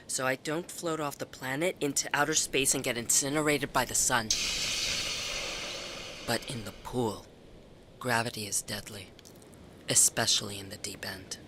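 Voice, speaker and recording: A woman's voice: a bass voice, noisy background. An actor playing an anxious, grieving, self-mocking woman in her forties, confiding in a conversational audio drama monologue. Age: 40s. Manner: confiding, self-deprecating, emphatic.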